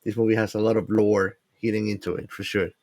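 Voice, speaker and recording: Low-voiced man, dry close sound, hesitant. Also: level tone, a little mellow, dry recording. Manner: hesitant, pausing between phrases. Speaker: film podcast panelist. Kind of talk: casual movie review chat.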